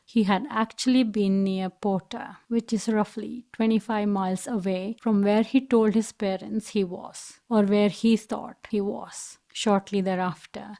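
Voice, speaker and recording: A woman's voice: medium pitch, vocal fry, darkish tone. A woman reading scripted documentary narration as a true crime storyteller.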